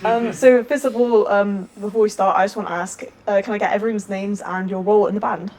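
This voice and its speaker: A woman's voice: mid-range voice, steady tone, brisk, darkish tone, low-quality recording. A woman interviewing in a casual, informal and slightly hesitant way. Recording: ambient room noise behind.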